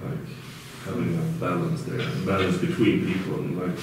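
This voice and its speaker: Brief: teen boy from Sweden, low voice, halting, distant and echoey. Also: little pitch movement. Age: teens. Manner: hesitant, searching for words. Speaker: student. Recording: echoey room from far off, noisy.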